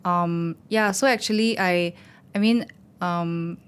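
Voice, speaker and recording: A woman's voice: fairly low pitch, fairly even intonation, slow pace, clean tone. A woman, an animator and teacher, talking loosely in an interview with many "you know"s. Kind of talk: casual interview talk.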